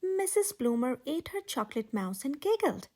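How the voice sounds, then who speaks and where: A woman reading a children's story aloud as a storytime host, expressive, with dramatic emphasis and a breathy voice. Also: high voice, moderate pace. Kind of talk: animated children's read-aloud narration.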